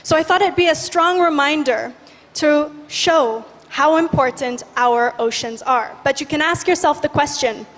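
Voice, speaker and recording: Young woman from Indonesia, early twenties, high voice, upbeat stage speaker, big hall echo. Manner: lively, guides the audience in breathing. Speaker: youth speaker. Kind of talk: energetic stage talk. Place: large conference hall stage. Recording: reverberant hall.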